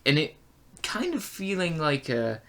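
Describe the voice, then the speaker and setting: A man's voice: a little high, sing-song, unhurried, a bit bright. A man co-hosting a casual review discussion, hesitantly searching for his words. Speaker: podcast review co-host.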